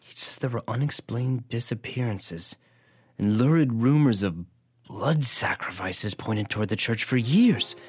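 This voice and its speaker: A man's voice: mid-range voice, measured pace, slightly rough. An actor playing a shaken, earnest young man in a radio drama, anxious and intense. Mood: troubled, intrigued.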